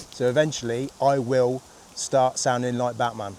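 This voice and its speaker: Husky-voiced man in his fifties with a cold. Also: mid-range voice, steady tone, slow pace, darkish tone, slight background noise. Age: 50s. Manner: chatty, rambling, laughs easily. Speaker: vlogger. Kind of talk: casual walking vlog. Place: outdoors on a rainy countryside walk.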